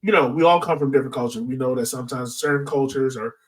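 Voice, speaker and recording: A man's voice: mid-range voice, fast. Man a little far from the mic. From southern United States. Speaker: sports podcast speaker. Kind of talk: casual discussion. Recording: distant from the mic.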